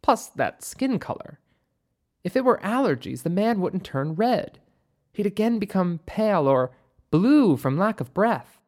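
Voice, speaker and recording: A composed woman doctor as a narrator acts her out in an audiobook story. She speaks in a thoughtful, precise way, observant and measured, with a wry playful edge. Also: mid-range voice, big pitch swings, darkish tone, voice pushed up. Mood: clinical, assured.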